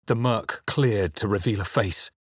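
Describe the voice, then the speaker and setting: An actor playing a grave, weary and observant adventurer in a video game, speaking in a measured, dramatic, husky way. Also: male voice, lowish voice, no echo, studio quality. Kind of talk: scripted video game narration and dialogue.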